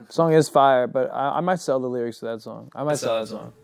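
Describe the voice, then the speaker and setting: A man's voice: medium pitch, fast talker, creaky, clean tone, no room sound. A young man, a rapper and singer, talking casually in an interview, rambling and filling his sentences with "like". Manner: loose and rambling, heavy on "like".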